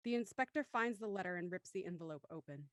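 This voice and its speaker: A woman's voice: fairly low pitch, expressive, fast, no echo, studio quality, lightly acted. A woman reading scripted narration for a radio murder mystery.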